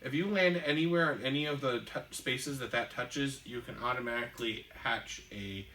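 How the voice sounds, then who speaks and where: A man co-hosting a casual podcast, explaining board game rules in a halting way with lots of ums and restarts. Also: medium pitch, sharp tone, slight background noise. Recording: echoey room, distant mic.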